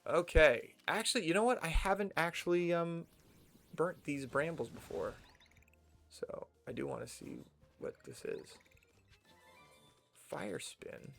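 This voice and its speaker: A man's voice: fairly high pitch, measured pace, gravelly, long pauses, a bit bright, faint music behind. A young man with a General American accent, rambling casually to himself while playing a video game. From United States. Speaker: streamer. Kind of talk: casual gameplay commentary.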